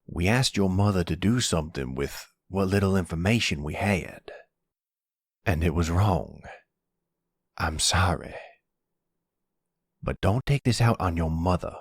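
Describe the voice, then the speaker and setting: A man playing a remorseful, tender older grandfather, measured and soothing, in an ASMR roleplay audio drama. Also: low-pitched, lively intonation, no echo, studio quality, full character voice. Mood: apologetic, firm.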